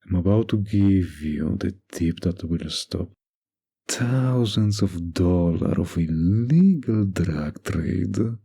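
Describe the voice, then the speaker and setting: A man playing a smug, dominant mafia boss in an intimate ASMR roleplay, with a gravelly, husky voice and a suggestive, deliberate drawl. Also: low-pitched, warm tone, exaggerated voice. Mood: provocative, flirty. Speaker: roleplay voice actor.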